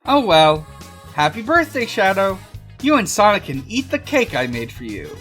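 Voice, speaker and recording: An eager, girlish cartoon hedgehog, acted out by a woman in a fan fiction reading. She speaks in a raised pitch that swings up and down. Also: sing-song, normal speed, fairly bright tone, faint music behind. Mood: eager, cheery. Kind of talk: fan fiction read-aloud.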